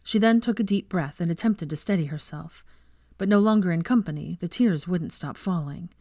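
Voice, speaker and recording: A woman's voice: fairly low pitch, normal speed, mellow, no room sound, studio quality. A woman reading fiction aloud as a scripted story narrator.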